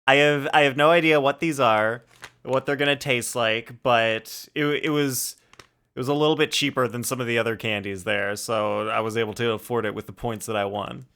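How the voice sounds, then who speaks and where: A man's voice: medium pitch, fairly even intonation, normal speed, creaky, sharp tone, clear, resonant tone. A young man chats casually to livestream viewers, telling anecdotes in a rambling way and often searching for words.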